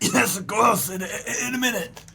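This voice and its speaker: A man acting in an audio play as a crooked, cowardly swindler, nervous and halting with theatrical piety. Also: sits high, gravelly, pushed and bright, exaggerated voice. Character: jittery crooked swindler, male, falsely pious and cowardly.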